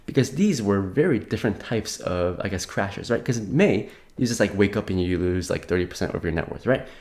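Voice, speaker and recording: A man's voice: lowish voice, expressive, fairly quick, a little mellow. A young man giving a casual market update while slightly sick, rambling with many fillers and restarts. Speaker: crypto market commentator.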